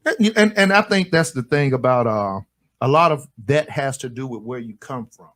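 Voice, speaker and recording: A man co-hosting a radio show in casual banter, animated and joking. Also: mid-range voice, moderate pace. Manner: animated, joking, swings into loud stern put-on voices.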